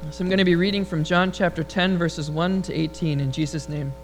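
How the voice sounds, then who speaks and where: A man with a high, rather feminine-sounding voice, preaching a relaxed, humorous sermon and leading prayer before a congregation. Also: a little fast, a little hiss or hum. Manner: relaxed, storytelling delivery with humor. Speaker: pastor. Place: church sanctuary before a congregation.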